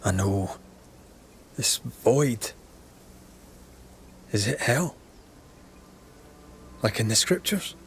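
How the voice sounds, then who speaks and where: Casting: guilt-ridden ghost hunter, game drama. Earnest, brooding, naturalistic, measured and slow. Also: male voice, fairly low pitch, lively intonation, a little hiss or hum, heavily acted. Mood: anguished doubt.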